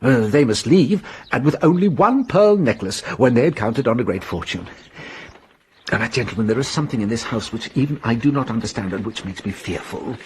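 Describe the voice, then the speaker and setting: An actor playing a shrewd, playful police inspector who chuckles and muses theatrically. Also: male voice, mid-range voice, brisk, slight background noise, close to an everyday voice. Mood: animated. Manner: theatrical, chuckling, lively and musing. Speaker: detective. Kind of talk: radio drama acting.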